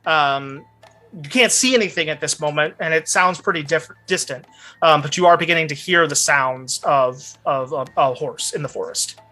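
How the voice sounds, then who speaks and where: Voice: medium pitch, normal speed, bright, forceful tone. A game master improvising tabletop narration, describing scenes conversationally and speaking straight to the players. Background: background music.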